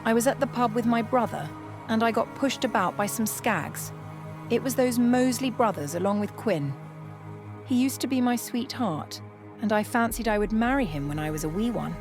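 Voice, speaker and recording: A woman's voice: fairly high pitch, lively intonation, moderate pace, voice pushed up. A woman voicing an earnest, loyal young working-class maid in a storybook, colloquial and deferential. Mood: indignant, worried. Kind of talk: period storybook dialogue. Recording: music behind the voice.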